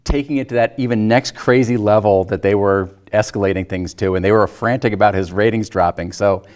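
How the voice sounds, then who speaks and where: A man's voice: fairly low pitch, steady tone, brisk, darkish tone. A man chatting informally about film as a guest, tentative and thinking aloud as he goes. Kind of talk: casual film discussion.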